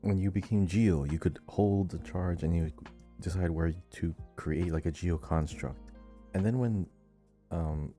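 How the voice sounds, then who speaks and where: A man's voice: deep voice, unhurried, warm tone, faint music behind. A man co-hosting a gaming podcast, chatting casually. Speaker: podcast co-host.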